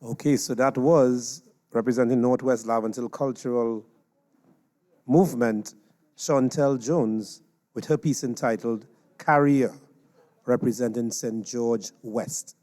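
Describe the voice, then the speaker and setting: A man's voice: mid-range voice, steady tone, measured pace, mellow. A man with a Trinidadian accent announcing contestants live on stage as a competition host.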